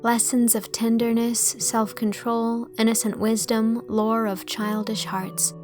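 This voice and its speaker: A woman's voice: fairly high pitch, flat delivery, a little slow. Woman narrating a storybook over faint music. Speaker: audiobook narrator.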